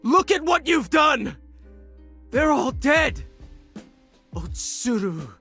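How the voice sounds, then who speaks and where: Furious grieving avenger, drawn-out screams, harsh edge, very slow, video game drama. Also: male voice, extremely high pitch, very animated, bright, forceful tone, faint music behind, heavily acted. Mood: anguished rage. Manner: screaming, drawn-out, distraught delivery. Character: anguished, accusing male avenger, wailing in fury.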